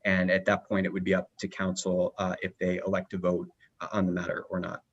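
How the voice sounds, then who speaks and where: A man briefing a city council in a formal meeting, pausing often with fillers as he explains. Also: deep voice, completely flat delivery. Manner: halting, many uh and um fillers. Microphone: remote video call. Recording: muffled video call audio.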